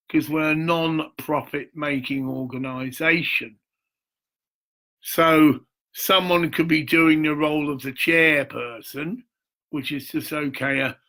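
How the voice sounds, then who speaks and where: A man's voice: a little high, vocal fry, pushed and bright. An older man, rambling through a theatrical, emphatic monologue in a self-filmed video. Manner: rambling, mystical, addresses viewers directly. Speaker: charity founder.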